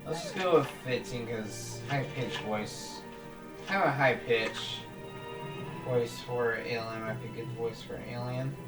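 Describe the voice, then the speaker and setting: A man speaks slowly and haltingly while he plays, thinking aloud and restarting his sentences. His voice sits back from the mic in an echoey room. Game music plays clearly behind him. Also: medium pitch, far from the mic. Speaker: gaming channel host. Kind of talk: casual let's-play commentary.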